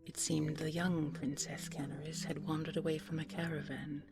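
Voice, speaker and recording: A woman reading a fairy tale in a gentle, hushed bedtime manner that often drops close to a whisper. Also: deep voice, level tone, normal speed. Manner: gentle, hushed, breathy delivery often falling to near-whisper. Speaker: storyteller, audio roleplay voice actor. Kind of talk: scripted fairy-tale narration, soft sleep-aid bedtime storytelling.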